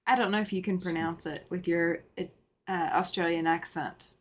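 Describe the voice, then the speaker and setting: A woman's voice: fairly low pitch, steady tone, moderate pace. A tired woman with a Southern drawl hosts a casual livestream. She reads out viewer comments and laughs often as she chats. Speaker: livestream host.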